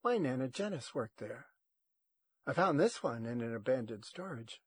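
A man's voice: mid-range voice, animated, normal speed, slightly rough, no echo. Man reading as a wary, hesitant young woman scavenger. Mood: evasive. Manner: casual, evasive, hesitant.

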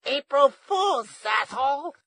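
A feverish old grandmother, voiced by a male narrator, pitched high and strained. She wanders wistfully through her words in an audiobook story, hesitant and a little sly, in a close, dry recording. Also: sing-song, a little slow, fairly bright tone, breathy edge, dry recording, heavily acted. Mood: triumphant.

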